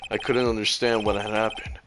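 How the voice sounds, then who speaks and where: A man plays a somber, dazed defendant in a courtroom game. He talks haltingly in a subdued voice. Music plays behind him. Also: fairly low pitch, little pitch movement, heavy background noise, pitched below the performer's own voice, close to an everyday voice. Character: somber, dazed male defendant recounting a shooting.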